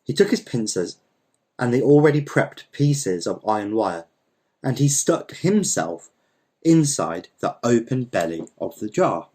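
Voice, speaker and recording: A man narrating a short story aloud in a measured, even storytelling manner. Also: medium pitch, slightly rough. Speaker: story reader. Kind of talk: audiobook narration.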